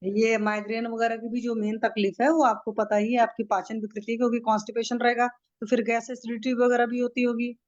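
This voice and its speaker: A woman's voice: fairly high pitch, flat delivery, brisk, few pauses, muffled recording. A woman doctor taking a patient's history over a video call, asking short checking questions and giving reassuring advice. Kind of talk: casual consultation questioning.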